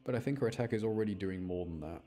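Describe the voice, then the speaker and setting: A man's voice: on the low side, racing, mellow. A man doing casual live game commentary for his chat, rambling and thinking aloud as he plays. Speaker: game streamer.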